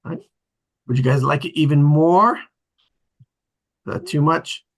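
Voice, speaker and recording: Casual male host, halting delivery. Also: a little high, lively intonation. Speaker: host of an online script table read. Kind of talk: casual hosting talk.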